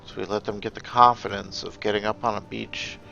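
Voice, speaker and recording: A man giving casual gameplay commentary, thinking aloud in a halting, musing way and often trailing off mid-thought. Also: lowish voice, steady tone, creaky, bright, forceful tone, lo-fi sound, faint music behind. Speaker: gaming video host.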